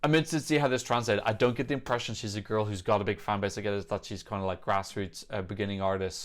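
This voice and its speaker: A man giving casual, off-the-cuff reaction commentary in a chatty, opinionated way, thinking aloud as he goes. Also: on the low side. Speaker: host of a music reaction show. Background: songs from music videos being reviewed.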